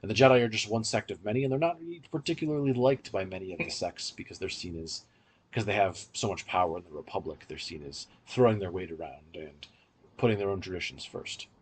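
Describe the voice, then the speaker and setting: A man with a low, rough-edged, husky voice hosts a podcast review. He talks casually, explaining things and offering his own opinions as he goes. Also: quick pace. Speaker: podcast host. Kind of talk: casual media review discussion.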